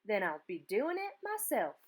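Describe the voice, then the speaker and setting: A woman's voice: medium pitch, wildly swooping pitch, normal speed. A woman doing a grumpy old alligator. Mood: grumbling. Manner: moaning, stubborn.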